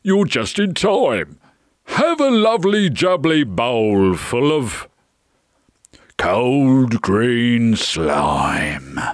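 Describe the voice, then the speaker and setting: A man voicing a big, gruff but friendly storybook giant, growling and hearty with drawn-out words. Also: mid-range voice, sing-song, no echo, clean studio sound.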